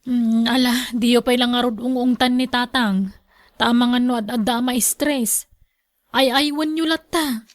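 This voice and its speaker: A woman's voice: a little high, steady tone, a little slow, close to an everyday voice. A woman with an Ilocano accent playing a concerned younger relative in a radio drama, calling out and urging.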